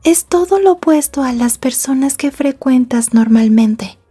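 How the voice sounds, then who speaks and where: A woman playing a sweet, possessive schoolgirl girlfriend in an ASMR roleplay, soft and polite with controlled menace. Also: sits high, animated, a little slow, breathy edge, faint music behind, full character voice. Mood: offended, cool. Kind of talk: Spanish ASMR roleplay acting.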